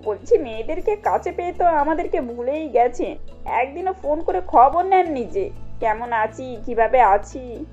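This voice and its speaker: Woman acting a pushy high-voiced mother-in-law. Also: animated, moderate pace, dark tone, muffled recording, faint music behind. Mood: sweetly demanding. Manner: breezy, insistent, polite demands. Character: pushy, self-important middle-aged mother-in-law, falsely sweet.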